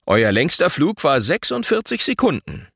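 Man reading an animated, announcing father. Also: fairly high pitch, moderate pace, dry recording, studio quality, pitched above the performer's own voice, full character voice. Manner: clear announcing, calling out. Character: father announcing rules as game referee.

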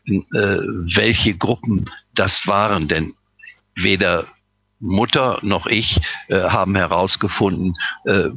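A man's voice: low-pitched, pushed and bright, slightly rough. An older man from Westphalia tells his own life story, hesitating often with fillers and restarts. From Westphalia, Germany. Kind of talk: personal recollection, unscripted. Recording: slightly dull lo-fi recording.

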